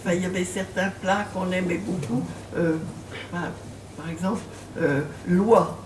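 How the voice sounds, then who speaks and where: A woman's voice: fairly low pitch, steady tone, moderate pace. An older woman author being interviewed in a bookshop, talking hesitantly with many fillers and laughing when she tells stories. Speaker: author and guest. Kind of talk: bookshop talk with audience. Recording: roomy, distant mic, faint noise.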